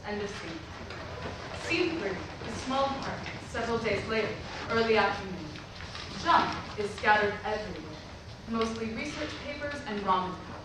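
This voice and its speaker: A woman reads scripted stage directions for a play. Her voice is distant from the microphone, with heavy echo and loud noise over the recording. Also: mid-range voice, expressive, moderate pace. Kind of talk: scripted narration. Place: staged reading filmed in a roomy venue. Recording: echoey room, far mic, loud noise.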